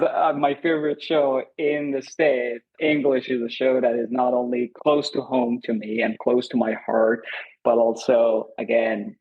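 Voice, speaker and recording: A man's voice: mid-range voice, gravelly, slightly rough, narrow, tinny audio. A man in his thirties from Iran, with an Iranian accent, speaking in a podcast interview in an earnest, thoughtful way, often repeating words for emphasis and laughing easily. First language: Azerbaijani Turkish, Kurdish and Farsi. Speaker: lighting designer, guest.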